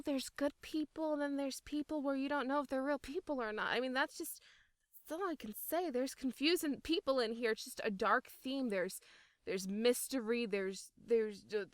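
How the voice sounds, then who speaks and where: A woman's voice: sits high, normal speed, no room sound, studio quality. A young woman chatting casually as an interview guest, talking in an enthusiastic, emphatic way. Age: young adult. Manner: enthusiastic, emphatic, laughing as she talks. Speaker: voice actor interviewee.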